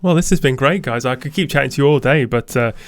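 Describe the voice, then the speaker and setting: Smooth-voiced, easygoing male podcast host. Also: medium pitch, quick pace, dry recording. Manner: friendly, easygoing, thanking guests. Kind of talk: casual interview chat.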